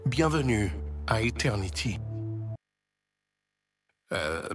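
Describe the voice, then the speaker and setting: A man's voice: fairly low pitch, big pitch swings, very slow, full character voice. A man playing a wry, forgetful narrator program of a video game, delivering tongue-in-cheek scripted narration in a comedy audio drama. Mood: wry, grand. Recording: music behind the voice.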